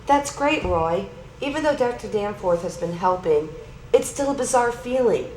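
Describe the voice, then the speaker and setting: A woman playing a police sergeant, speaking quietly in an amateur sketch. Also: medium pitch, animated, normal speed, roomy sound, noisy background, close to an everyday voice. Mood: subdued. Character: police sergeant woman, shaken after a shooting. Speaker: actor in a sketch.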